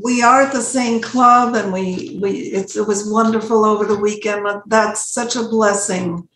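A woman's voice: mid-range voice, fairly even intonation, measured pace, a bit bright, a little hiss or hum. An older American woman hosting a casual chat from a room at home over a video call. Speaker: host. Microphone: computer mic on video call. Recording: roomy echo on video call.

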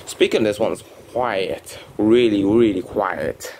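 A man's voice: fairly low pitch, slow, a little mellow, slight background noise. A young man whose first language is Vietnamese, casually rambling through a show-and-tell with many restarts and fillers. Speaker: phone collector vlogger. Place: bedroom at home.

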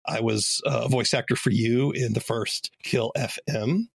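A man's voice: medium pitch, steady tone, measured pace, vocal fry, husky, no room sound, studio quality. A man hosting an interview podcast, moving between scripted introduction and easy conversation. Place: close, dry recording; remote interview.

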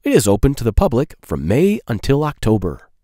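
A man in his thirties hosting a children's read-aloud, speaking in simple, clearly phrased sentences to young listeners. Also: medium pitch, wildly swooping pitch, a little fast, mellow, no room sound, studio quality.

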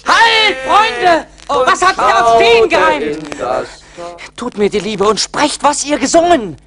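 An actor playing a naive, eager young peasant lad in a radio play, earnest and imploring. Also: male voice, very high-pitched, sing-song, unhurried, a crowd in the background, heavily acted. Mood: urgent, excited. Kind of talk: 1980s German radio play acting. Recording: vintage LP radio play, audible noise.

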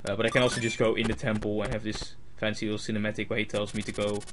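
Young Dutch-accented let's play host, rambling and self-deprecating, game music running underneath. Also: male voice, on the low side, flat delivery, rapid-fire. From Netherlands. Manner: rambling, self-mocking, many fillers. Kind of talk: casual game commentary. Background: video game music.

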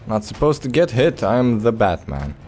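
A man's voice: mid-range voice, big pitch swings, normal speed, a little mellow, faint music behind. A man giving casual, laid-back gameplay commentary in short phrases as he plays. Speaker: let's play host.

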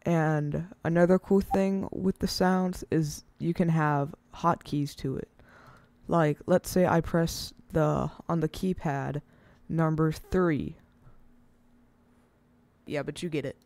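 A casual, rambling speaker hosting an informal step-by-step software tutorial, recorded close to the mic as a voice-over. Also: medium pitch, fairly even intonation, takes it slowly, gravelly, no room sound. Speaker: tutorial host.

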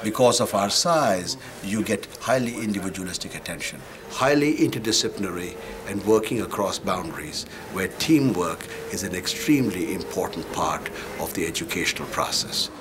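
A man's voice: deep voice, animated, normal speed, few pauses, noisy background. An older man, a professor, giving interview answers. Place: book-lined office.

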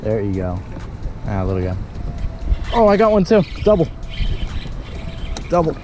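A man's voice: mid-range voice, a little slow. A young man on a fishing boat, chatting casually and calling out each fish he catches, recorded on a head-mounted action camera outdoors. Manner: upbeat, excited play-by-play of catches. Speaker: fishing vlogger. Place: small boat on a lake, outdoors. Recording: outdoor wind and water noise.

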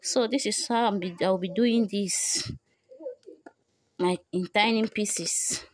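A woman's voice: medium pitch, slow, no room sound. Young woman, beauty vlog host, chatty and repetitive, phone recording, indoors at home. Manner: chatty, repeats herself, addresses viewers as guys. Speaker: beauty vlogger. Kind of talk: casual how-to vlog. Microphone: phone camera, no microphone.

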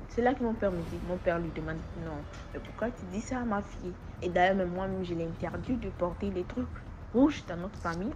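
Low-voiced West African woman, noisy recording. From Togo. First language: not French. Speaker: testimony giver. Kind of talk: informal spoken personal testimony.

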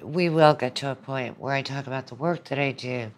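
A woman's voice: extremely low pitch, a little fast. A woman in her seventies from the southern United States, reminiscing in a conversational interview as a guest. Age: 70s.

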